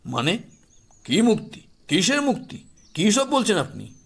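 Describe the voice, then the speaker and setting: A man voices a wealthy, domineering father in an audio horror drama. His voice is high for a man and husky, full of indignation and threat as he demands answers. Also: huge pitch swings, heavily acted. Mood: baffled, demanding. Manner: indignant, demanding, rapid questioning. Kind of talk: audio horror story acting.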